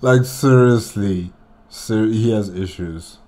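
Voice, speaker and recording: Guy doing casual reaction commentary, kinda low voice, slow and halting, thinking out loud. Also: a little hiss or hum. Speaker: reaction video host.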